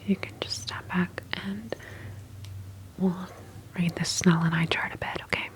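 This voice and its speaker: A woman whispers softly and gently in an ASMR medical roleplay, playing an attentive doctor. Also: on the low side, steady tone, unhurried.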